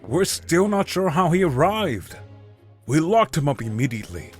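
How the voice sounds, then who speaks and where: A man's voice: on the high side, very animated, faint music behind. A man voicing a courteous village mayor. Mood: earnest. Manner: courteous, measured. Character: polite male village mayor, courteous and earnest.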